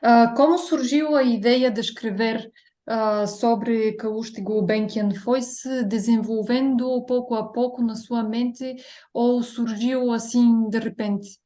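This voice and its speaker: A woman's voice: a little high, steady tone, slow pace, mellow. Young Bulgarian woman, careful interviewer. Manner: careful, formal, read-out phrasing. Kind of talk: prepared interview introduction.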